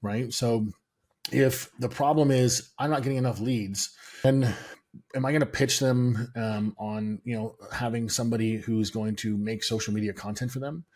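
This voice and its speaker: A middle-aged American man, a guest in an interview, explaining sales steps in a measured way with many "um" pauses. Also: medium pitch, fairly even intonation, darkish tone. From United States. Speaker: guest, sales and software founder.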